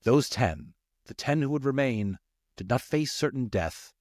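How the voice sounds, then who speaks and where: A male storyteller with a medium-pitched voice reads with very lively intonation. The narration is expressive and recorded close and dry. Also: measured pace, no room sound. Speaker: storyteller, audiobook reader.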